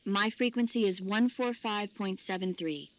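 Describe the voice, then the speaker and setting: An actress performs a young female medic supporting a spy in a video game. Her voice is medium in pitch and poised. She sounds friendly and gently playful. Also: moderate pace, natural voice. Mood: friendly, teasing. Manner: friendly, teasing. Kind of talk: video game cutscene acting.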